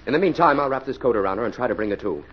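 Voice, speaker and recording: A male actor plays an earnest, decisive crime-fighting scientist in an old American radio play. His American voice moves widely in pitch. The recording is muffled with faint noise. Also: animated, very fast, natural voice. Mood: urgent. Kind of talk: 1940s radio acting.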